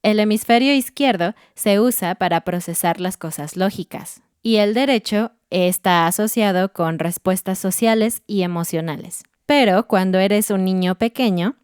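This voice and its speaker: A woman co-hosting a podcast, explaining language learning in a clear, steady way. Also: mid-range voice, no echo, clean studio sound. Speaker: podcast co-host. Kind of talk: educational podcast chat.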